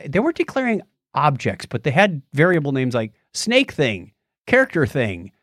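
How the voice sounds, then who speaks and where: Animated, higher-pitched American man. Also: moderate pace, dry recording, clean studio sound. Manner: jokey, animated storytelling. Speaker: podcast co-host. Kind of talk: casual tech chat.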